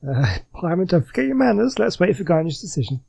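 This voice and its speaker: A man's voice: high voice, sing-song, fast, vocal fry, a little mellow, slightly rough. A man giving casual commentary as he plays through a video game. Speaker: gaming host.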